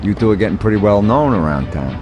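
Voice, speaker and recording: Game mobster elder: low, widely inflected, cautionary and businesslike, under loud music and rain. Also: male voice, very animated, fast talker. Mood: fatherly, cautioning. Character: calm older mobster advisor, fatherly, cautionary, businesslike. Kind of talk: video game cutscene acting.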